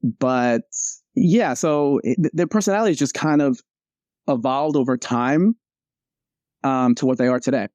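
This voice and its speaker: Male interview guest, casual and rambling, self-correcting, with a warm, relaxed tone and dry sound. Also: mid-range voice, a little slow, pauses often, no echo. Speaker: comic strip cartoonist, interview guest.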